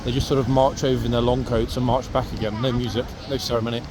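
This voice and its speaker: A man's voice: mid-range voice, little pitch movement, quick pace. Chatty man vlogging on a noisy street. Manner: chatty, addressing viewers directly. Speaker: vlogger and city walk host. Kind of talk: casual walking commentary to viewers. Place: outdoors on busy city streets, walking. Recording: clear street traffic noise outdoors. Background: traffic and urban outdoor ambience.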